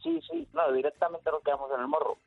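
A man's voice: on the high side, huge pitch swings, fast talker, a crowd in the background. A man guest on a radio talk show, bashfully telling his own story with laughs. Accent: Spanish (Spain). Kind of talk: casual radio chat. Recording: phone-like line with faint music.

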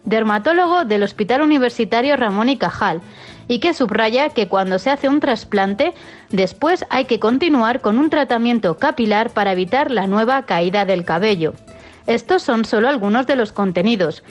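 Woman, scripted narration, fairly high voice, even read delivery, faint music. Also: normal speed. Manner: even, read summary of contents. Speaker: show narrator.

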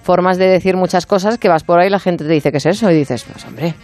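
A woman's voice: fairly low pitch, quick pace, darkish tone, faint music behind. A middle-aged woman with a Madrid accent hosting a casual radio chat. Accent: Madrid Spanish. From Madrid, Spain. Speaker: radio host. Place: radio studio.

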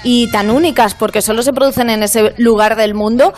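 An enthusiastic woman from Madrid with a fairly high voice, explaining things on a radio show. Faint music plays under the talk. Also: steady tone, brisk, a little mellow. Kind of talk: casual radio chat.

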